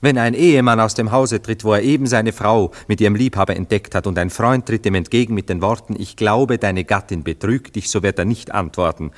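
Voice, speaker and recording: A male actor as a dreamy, jealous lover in a German radio play. His voice sits low. He is theatrical, given to ardent declarations and slow, soothing murmurs. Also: lightly acted. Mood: excited, dramatic.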